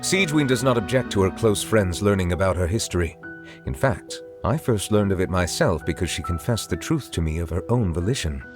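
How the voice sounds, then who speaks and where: A man playing a formal, dignified chief judge in a video game, measured, earnest and courteous. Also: deep voice, lively intonation, music in the background. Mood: solemn.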